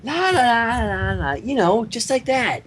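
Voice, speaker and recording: A man's voice: very animated, unhurried, fairly bright tone, a little hiss or hum, exaggerated voice. A man voicing a bouncy, playful pink cartoon pony mare with a bubbly, easily exasperated manner, in a story reading. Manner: high-pitched, bubbly, exasperated.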